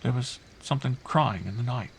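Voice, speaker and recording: A man's voice: medium pitch, fairly quick, vocal fry, a little hiss or hum, pitched above the performer's own voice, natural voice. A man voicing a bewildered, earnest young girl in an audiobook, trusting and fragile, speaking simply. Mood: hushed, earnest. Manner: hushed, simple, earnest delivery. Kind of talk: audiobook character voice.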